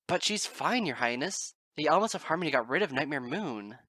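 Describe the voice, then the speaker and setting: Guy putting on a high panicky young pony girl, shrill, bright and tense. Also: animated, a little fast, no echo. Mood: alarmed. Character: frightened young female unicorn scholar.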